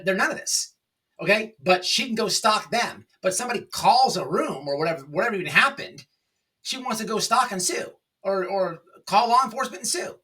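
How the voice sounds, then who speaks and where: A man hosting an informal opinion commentary, speaking in a halting, repetitive, emphatic and sarcastic way. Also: high voice, sharp tone, distant mic. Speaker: online commentary show host.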